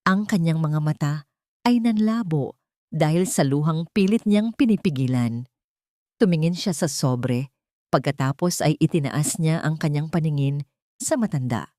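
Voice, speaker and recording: A woman's voice: deep voice, animated, unhurried, mellow, dry recording, clean studio sound. A woman narrator reading a scripted, dramatic story as an audio drama.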